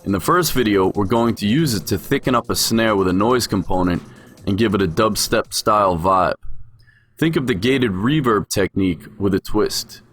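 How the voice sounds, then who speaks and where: Low-voiced man teaching, music behind. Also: normal speed, fairly bright tone. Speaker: music production instructor. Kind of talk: software tutorial voice-over, explanatory.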